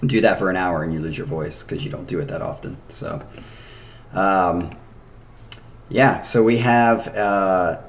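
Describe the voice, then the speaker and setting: A man in his thirties, hoarse from a day of drinking, chatting loosely and rambling to his stream audience into a desk microphone. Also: low voice, unhurried, pauses often, a little hiss or hum. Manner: rambling, chatty, laughs often. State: hoarse after a night of drinking. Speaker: streamer reacting to music. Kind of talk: casual chat to a live stream.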